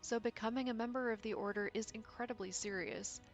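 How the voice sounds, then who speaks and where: A woman narrating a scripted video game lore essay in a measured, serious manner with dramatic pauses. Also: fairly high pitch, steady tone. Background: background music.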